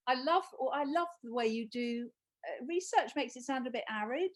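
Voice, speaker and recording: A woman with a fairly high voice moderates a live conversation. She is chatty and informal, reading out questions and often breaking off mid-thought to rephrase. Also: lively intonation, moderate pace. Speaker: interviewer, event moderator.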